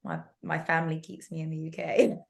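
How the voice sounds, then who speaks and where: English woman co-hosting a podcast, lower register, easygoing and chatty. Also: steady tone, brisk, creaky. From England. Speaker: podcast host. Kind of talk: casual interview chat.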